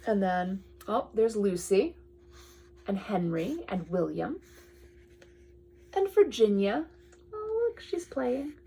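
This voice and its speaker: A woman reads a picture book aloud to a young child in drawn-out phrases, often dropping to a hushed tone and adding chatty asides. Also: mid-range voice, sing-song, creaky, slightly rough. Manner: addresses the child with questions and pointers. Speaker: storyteller reading to a young child.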